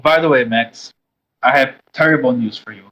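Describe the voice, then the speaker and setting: A man from Brazil with a Brazilian Portuguese accent, a Portuguese native speaker explaining earnestly and haltingly as a moderator over a voice call. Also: mid-range voice, sharp tone, highs cut off.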